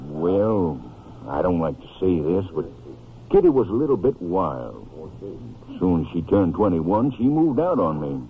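A folksy middle-aged rancher with a Southwestern drawl, hesitant and apologetic. His deep voice rises and falls freely, heard through a narrow, tinny old radio drama recording. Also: sing-song, a little slow, slight background noise, exaggerated voice. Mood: rueful, defensive. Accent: American Southwestern. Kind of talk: 1950s radio drama acting.

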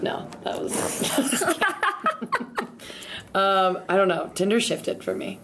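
A woman's voice: mid-range voice, huge pitch swings, very slow. A young woman co-hosting a podcast in casual, slangy conversation. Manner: slangy, informal. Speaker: podcast co-host. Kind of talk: casual chat. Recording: noisy room.

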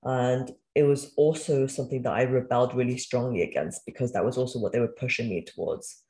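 A woman in her thirties, raised in Wales after a childhood move from China, recounting her upbringing in a relaxed, reflective interview manner with frequent "um"s. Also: rumbling low, fairly quick. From born in China, raised in Wales. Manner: reflective, candid, uses fillers like um and you know. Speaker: guest, entrepreneur. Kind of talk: conversational interview storytelling. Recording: echoey room.

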